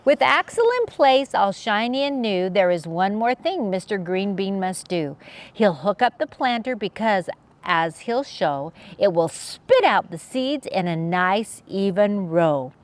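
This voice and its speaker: A woman reading a rhyming picture book aloud to young children in an expressive, sing-song and playful way. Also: mid-range voice, measured pace. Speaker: children's story reader, channel host.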